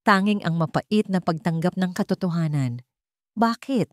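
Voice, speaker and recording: A woman storyteller reading a scripted dramatic tale in a measured delivery with deliberate pauses. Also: sits low, animated, a little mellow, no echo, studio quality.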